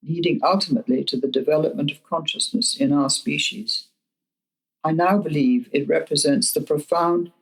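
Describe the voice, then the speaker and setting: A woman in her eighties narrates her own nonfiction book in a husky voice. Her delivery is scripted and measured, with deliberate phrasing. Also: deep voice, rough recording. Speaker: author reading own book.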